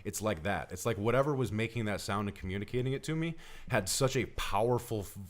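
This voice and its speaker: A man's voice: fairly low pitch, expressive, fast talker. A man telling a personal story casually on a podcast, earnest and emphatic in the way he describes things. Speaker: podcast co-host.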